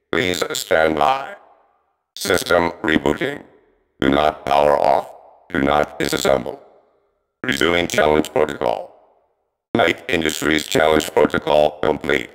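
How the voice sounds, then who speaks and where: An actor playing a formal, helpful robot in a video game, speaking in clipped mechanical announcements with robotic processing. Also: male voice, extremely low pitch, vocal fry, clean tone, in a large hall, heavily acted, poor audio. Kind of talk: scripted video game voice lines.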